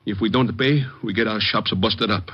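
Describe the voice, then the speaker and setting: Husky, worried butcher. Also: male voice, on the low side, moderate pace. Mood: worried, earnest. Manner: naive, hesitant, broken English. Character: worried, earnest immigrant male neighborhood butcher. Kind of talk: 1940s-50s radio drama acting. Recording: muffled vintage radio recording.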